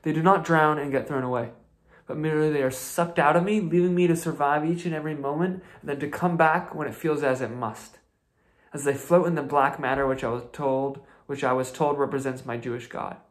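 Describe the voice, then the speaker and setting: A man's voice: a little high, fairly quick. A man in his twenties improvising a searching, intense spoken-word monologue in a small bedroom, recorded on a close laptop mic. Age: 20s. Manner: searching, intense, rising into emphatic outbursts. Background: acoustic guitar strumming.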